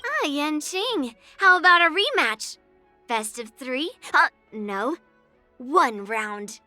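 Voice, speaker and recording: Confident young swordswoman, video game heroine, bright forceful tone, unhurried delivery, plucky and proud. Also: high-pitched, no echo, faint music behind, full character voice. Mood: cocky, playful. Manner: brash, plucky, expressive. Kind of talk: video game character voice lines.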